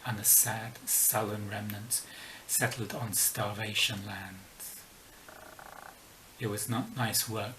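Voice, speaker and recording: A middle-aged man with a weak London accent, talking through a book review in a measured, halting way. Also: fairly low pitch, pushed and bright, a little hiss or hum, rough recording. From England. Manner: measured, halting with pauses and fillers. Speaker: book vlogger. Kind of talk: casual book review talk.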